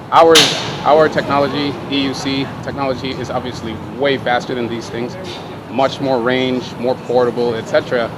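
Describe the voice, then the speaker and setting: An outdoor recording with very loud city noise carries a man's voice at a middling pitch. He is vlogging, explaining things casually and conversationally. He keeps it easygoing and checks in with whoever is listening. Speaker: vlogger and electric unicycle rider.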